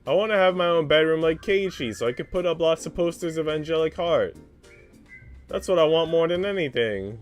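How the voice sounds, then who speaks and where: A man voicing an eager, tactless little girl in a visual novel, with a whiny, excitable, sweet manner. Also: on the high side, brisk, soft background music, pitched above the performer's own voice, close to an everyday voice. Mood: demanding.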